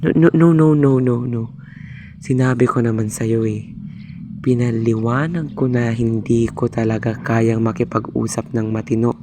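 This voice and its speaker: Man playing insecure young suitor, Filipino accent, monotone, halting, radio drama, faintly noisy recording. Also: mid-range voice, close to an everyday voice. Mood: earnest, nervous. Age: young adult. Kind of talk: amateur radio drama acting with narrated monologue.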